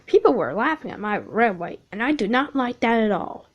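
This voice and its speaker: A woman's voice: on the high side, lively intonation, lo-fi sound. A woman voicing a stern but fair older male railway controller who speaks firmly and steadily. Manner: firm and measured.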